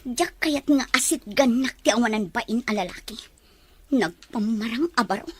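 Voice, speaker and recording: A woman's voice: a little high, moderate pace, a little hiss or hum, natural voice. A woman playing a wry, coy young office worker in an Ilocano radio drama, with a light conversational delivery. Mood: annoyed.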